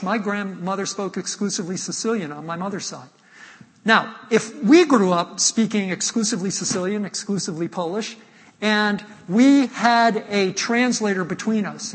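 A man preaching an expository sermon as a pastor, emphatic and deliberate, repeating key points and posing rhetorical questions. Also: high-pitched, fairly bright tone. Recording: echoey hall with long reverb.

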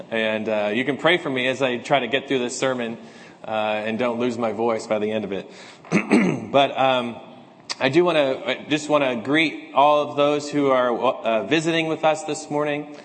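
Pastor, male, slightly hoarse, earnest and measured, mid-pitched, church hall reverb. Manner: earnest, measured, with fillers in announcements. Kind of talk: scripture reading, prayer and church announcements. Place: church sanctuary.

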